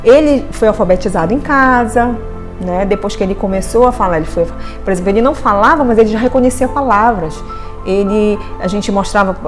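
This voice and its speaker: A woman speaks earnestly in an interview, often restarting her sentences. Her voice sits a little higher than usual, and soft music plays faintly behind her. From Brazil. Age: 40s. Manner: earnest, reflective, with many restarts and tag questions. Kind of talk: personal testimony interview.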